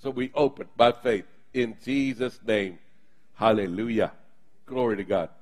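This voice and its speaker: A man's voice: fairly low pitch, measured pace. Fervent preacher man leading prayer. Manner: fervent, emphatic preaching with bursts of intensity. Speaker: pastor. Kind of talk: sermon and congregational prayer.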